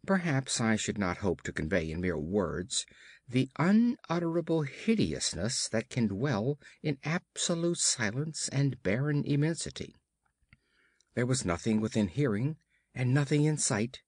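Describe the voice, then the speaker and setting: A man's voice: lowish voice, dry recording, clean studio sound. A man reading a horror story as an audiobook narrator, in a dramatic, theatrical and emphatic way.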